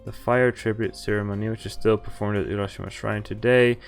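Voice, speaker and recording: Low-voiced man reading a visual novel aloud. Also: flat delivery, fairly quick, vocal fry, soft background music. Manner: reads narration evenly, breaks off to swear and react. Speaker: streamer playing a visual novel. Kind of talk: reading game text aloud with live reactions.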